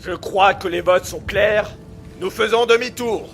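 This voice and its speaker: An actor playing an authoritative, sceptical ship's captain, speaking firmly in an audio drama. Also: male voice, high voice, a little slow, fairly bright tone, faint music behind. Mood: commanding. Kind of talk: French audio drama acting.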